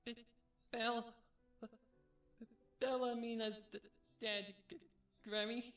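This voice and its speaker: A man's voice: little pitch movement, a bit bright, echoey room, recorded from a distance, faint music behind, exaggerated voice. A man voicing a grief-stricken young girl pony, crying and stammering in a choked voice for a story. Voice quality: high, choked. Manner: stammering, halting through sobs. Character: sobbing, guilt-ridden little filly, young girl pony.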